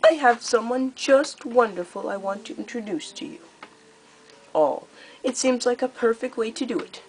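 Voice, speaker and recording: A woman's voice: a little high, normal speed, pitched above the performer's own voice, close to an everyday voice. Teen girl doing a mean dragon queen, storybook villain, raised gloating voice, drags words out, noisy recording. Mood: falsely cheerful. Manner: exclamatory, gloating, stretched-out words. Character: cruel, theatrical dragon queen, gleefully menacing.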